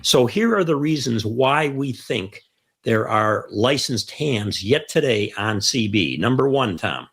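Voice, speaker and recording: A man's voice: mid-range voice, animated, a little slow, fairly bright tone, breathy edge. An older man with an American accent, chatting through an explainer as host and stressing key phrases. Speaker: host of a ham radio show.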